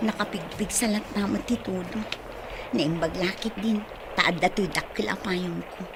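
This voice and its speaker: A woman playing a shy young office worker who is quietly smitten with a coworker, in an audio drama. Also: mid-range voice, normal speed, background noise, lightly acted. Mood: wistful, quiet. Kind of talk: amateur audio drama acting.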